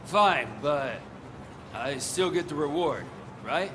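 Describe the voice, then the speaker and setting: An actor playing a brazen, dim wanted criminal in a video game, pushy and indignant in manner. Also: male voice, a little high, animated, slow, over music.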